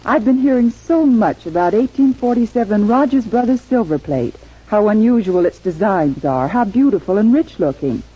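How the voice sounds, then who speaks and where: An admiring, longing woman in an old radio commercial. Her voice is husky and mid-pitched. The vintage recording is muffled. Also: expressive, normal speed. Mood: wistful, admiring. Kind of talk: scripted 1940s radio advertisement.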